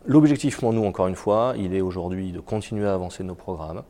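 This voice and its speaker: A man with a cold, an executive answering interview questions in a halting way with many repeated words and fillers. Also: low-pitched, dark tone. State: has a cold. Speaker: biotech company executive.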